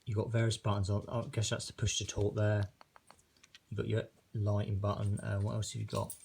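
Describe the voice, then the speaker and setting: Casting: low-pitched male product reviewer, informal and tentative, clear background noise and clicks. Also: monotone, fairly quick, darkish tone. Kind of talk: casual unboxing and review commentary. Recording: noisy recording with handling clicks.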